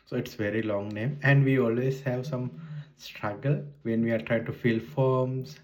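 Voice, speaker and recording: Sri Lankan man in his forties, halting English. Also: mid-range voice, steady tone, a little mellow, rough recording. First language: Sinhala. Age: 40s. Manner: hesitant, halting non-native English with frequent restarts. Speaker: vlogger. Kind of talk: casual unscripted monologue.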